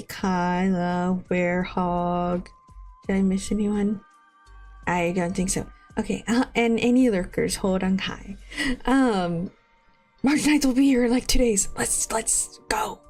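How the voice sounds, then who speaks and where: Young woman, sniffly with a cold, unhurried rambling stream chat, mid-pitched, faint music behind. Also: darkish tone. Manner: rambling, laughs often. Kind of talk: casual live-stream chat.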